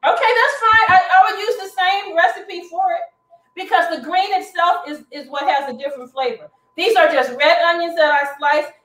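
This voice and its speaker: A woman's voice: high-pitched, fairly bright tone. A middle-aged woman hosting a live cooking demonstration from her home kitchen, chatty and instructive as she cooks. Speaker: cooking show host. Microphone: far camera across the kitchen. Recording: roomy kitchen from far off.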